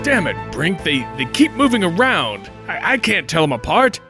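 An actor playing a brash, dim, melodramatic adventurer hero, in old radio serial style. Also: male voice, on the high side, big pitch swings, moderate pace, over loud music. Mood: flustered. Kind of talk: parody 1940s radio serial acting.

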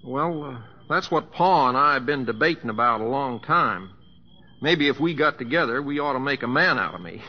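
An actor playing a folksy, humble, teasing young cowboy with a Southwestern American accent and a homespun, hesitant drawl, in old radio drama style. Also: male voice, mid-range voice, expressive, normal speed, natural voice. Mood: wry, reminiscing. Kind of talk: 1940s radio acting. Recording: muffled old radio broadcast with music.

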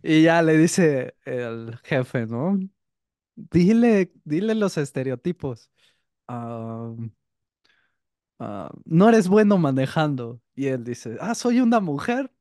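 A man's voice: fairly high pitch, big pitch swings, slow pace, warm tone, dry recording, clean studio sound. A man co-hosting a casual podcast chat. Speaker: podcast co-host.

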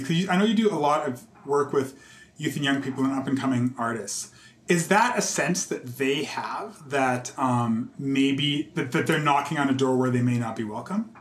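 A man's voice: fairly high pitch, moderate pace. A young adult man interviewing a fellow conductor, hesitant and full of restarts and "like". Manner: hesitant, restarts often, says "like" a lot. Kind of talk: conversational interview. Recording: roomy with faint ambience.

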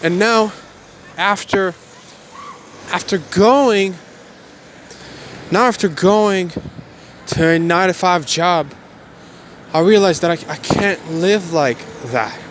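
Young man, early twenties, slightly high voice, emphatic and repetitive motivational monologue, faint background noise. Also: slow, long pauses, fairly bright tone.

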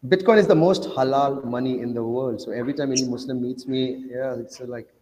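A man's voice: medium pitch, a little fast, a little mellow, a little hiss or hum. A man who grew up in Oman, a guest telling rambling stories with energy. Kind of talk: casual interview talk. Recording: dull lo-fi sound with long reverb.

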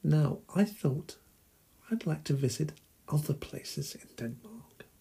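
Solo podcast host, man from England, middle-aged, slow deliberate delivery, gravelly husky voice. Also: on the high side, animated, mellow.

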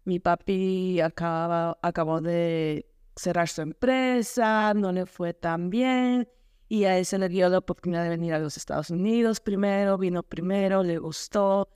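A woman's voice: fairly low pitch, fairly even intonation, measured pace, a little mellow, clean tone, no echo, clean studio sound. A woman with an Ecuadorian accent telling her story casually as a podcast guest, often searching for words. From Quito, Ecuador.

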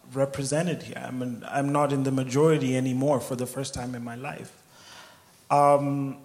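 A young man from Kenya giving a reflective personal testimony, pausing often with fillers as he tells his story. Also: mid-range voice. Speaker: guest speaker.

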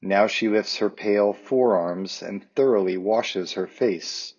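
A man reciting poetry for listeners in a measured, contemplative manner. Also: lowish voice, darkish tone, lo-fi sound. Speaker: volunteer poetry reader, teacher.

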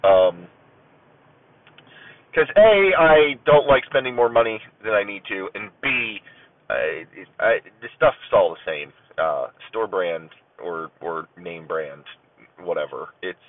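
A man's voice: sits low, big pitch swings, a little slow. Stuffy-voiced rambling male podcast host. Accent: Ohio. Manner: rambling, many ums and uhs, self-deprecating. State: stuffy, maybe a cold, clearing throat. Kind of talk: casual solo podcast chat. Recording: tinny phone-like audio.

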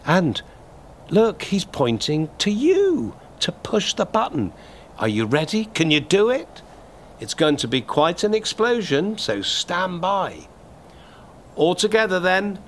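A man's voice: high voice, huge pitch swings, slow pace, slight background noise. A man hosting a scripted on-location audio report, speaking theatrically and straight to the listener. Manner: theatrical, addresses the listener directly. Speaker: podcast host and narrator.

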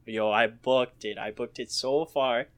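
A man's voice: medium pitch, fairly even intonation, a little slow, fairly bright tone. A young man telling stories from his youth in a casual podcast chat, laid back, full of slang and laughing through his stories.

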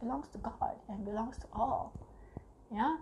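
A woman's voice: on the high side, normal speed, mellow, rough recording. Chatty woman hosting a live stream. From Singapore. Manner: chatty, reads viewer comments aloud, tags "right?". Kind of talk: casual spiritual live talk.

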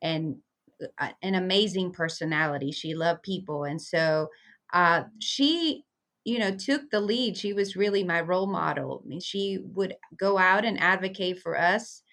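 A woman's voice: fairly low pitch, a little slow, fairly bright tone. Venezuelan-born woman, earnest interview guest. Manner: earnest, reflective, with frequent fillers. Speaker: guest, community liaison. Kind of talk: conversational interview.

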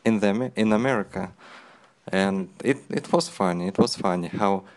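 A native Russian man speaks accented English in a low voice. His delivery is halting as he searches for words, asking and answering in a casual interview conversation. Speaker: interviewer and language learner.

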